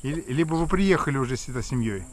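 A man's voice: mid-range voice, animated, quick pace, slight background noise. A man interviewing villagers with casual, stumbling questions.

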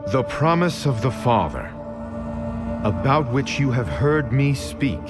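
An actor playing a solemn, authoritative male teacher in a measured audio Bible drama. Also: medium pitch, big pitch swings. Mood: solemn, commanding. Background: music.

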